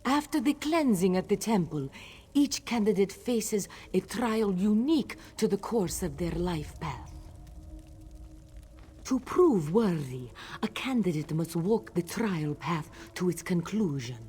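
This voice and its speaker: A woman voicing a solemn cat-folk priestess leading sacred rites in a fantasy video game, with measured ceremonial delivery. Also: fairly low pitch, lively intonation, mellow, a little hiss or hum. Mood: solemn explaining.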